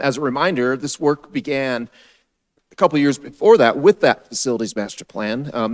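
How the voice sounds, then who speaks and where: An American man with a raspy voice giving a formal, measured and somewhat halting staff presentation at a council meeting. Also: mid-range voice. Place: city council meeting room.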